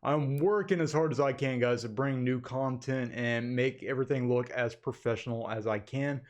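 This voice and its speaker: A man's voice: mid-range voice, normal speed. A man talking informally and directly to his viewers as the host of an online channel, in a casual webcam update recorded on a desktop microphone in an office. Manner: informal, addresses viewers as "guys" repeatedly. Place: indoor home office. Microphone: desktop USB condenser microphone.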